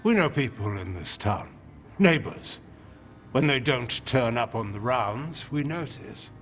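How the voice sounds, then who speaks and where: A man playing a hard, protective underworld gang member in a video game, blunt, deliberate and menacing. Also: mid-range voice, animated, a bit bright, soft background music. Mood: hard resolve.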